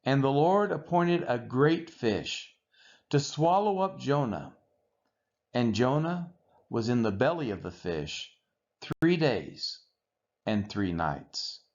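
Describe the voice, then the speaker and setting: A man reading the Bible aloud in a solemn style, with measured, expressive and deliberate phrasing. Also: mid-range voice. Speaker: scripture reader. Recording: dull, muffled lo-fi recording.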